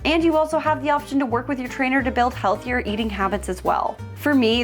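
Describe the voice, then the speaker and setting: A woman hosting a DIY vlog, talking in an upbeat, expressive, casual and chatty way. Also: fairly high pitch. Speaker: host of a DIY home makeover vlog.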